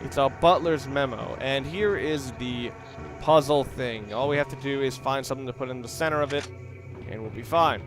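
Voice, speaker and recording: A man's voice: mid-range voice, moderate pace. A man giving casual, offhand and somewhat rambling commentary while playing a video game. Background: video game music.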